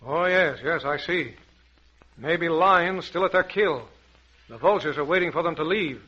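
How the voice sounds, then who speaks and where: A man's voice: on the high side, measured pace. An American man playing a bold, commanding safari captain in a theatrical old-time radio adventure serial. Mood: concerned, curious. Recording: dull, noisy vintage radio recording.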